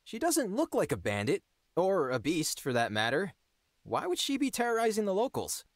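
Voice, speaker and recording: Wry young lord, animated delivery. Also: male voice, high-pitched, normal speed, dry recording, clean studio sound, heavily acted. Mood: wry, puzzled. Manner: wry, incredulous. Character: young male lord, wry, exasperated, earnest. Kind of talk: fantasy game character acting.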